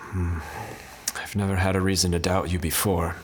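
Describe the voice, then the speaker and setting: Low-voiced, kindly, concerned friar. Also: male voice, background noise. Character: kind, concerned, principled friar and loyal friend. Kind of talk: fantasy audio drama acting.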